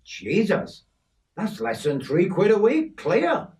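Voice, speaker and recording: A man's voice: high-pitched, wildly swooping pitch, slow pace, a little mellow, distant mic, pitched above the performer's own voice, full character voice. A man voicing a friendly, chatty young country lad with an Australian accent. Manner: chatty, easygoing.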